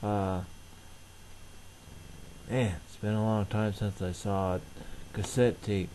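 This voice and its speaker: A middle-aged man casually narrating everyday tasks in a halting, rambling, plain-spoken way. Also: on the low side, steady tone, warm tone, slight background noise, rough recording. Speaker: vlogger.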